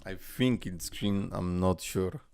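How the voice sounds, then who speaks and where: A man's voice: deep voice, takes it slowly, vocal fry, a little mellow, clean tone. A young man hosting a casual reaction video, joking loosely, swearing and laughing often.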